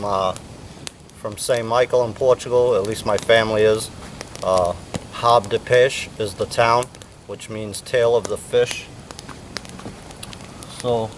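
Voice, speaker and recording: Laid-back man talking outdoors, off-mic. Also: mid-range voice. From United States. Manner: laid-back, rambling, many fillers. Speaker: bushcraft instructor. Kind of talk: casual outdoor cooking demonstration. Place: outdoors at a campfire. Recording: distant mic, faint outdoor noise.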